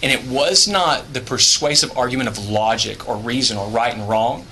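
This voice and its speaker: A man's voice: mid-range voice, normal speed, bright, forceful tone, slight background noise, poor audio. A man from Houston giving formal, polite testimony before a committee and defending his point under questioning. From Houston, Texas. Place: legislative hearing room.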